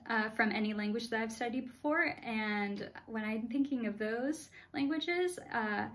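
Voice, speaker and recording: A young American woman answering interview questions at home in a friendly, thoughtful way, with frequent "uh" fillers. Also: a little high. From United States. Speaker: interview guest. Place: small room at home.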